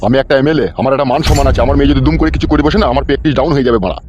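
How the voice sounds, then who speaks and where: Muffled, rapid, bullying cartoon politician dad played by a man. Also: mid-range voice, natural voice. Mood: furious, pompous. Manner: foul-mouthed, domineering. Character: gruff, bullying middle-aged politician father, proud of status. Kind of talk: comic cartoon dialogue. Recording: dull lo-fi sound, music behind.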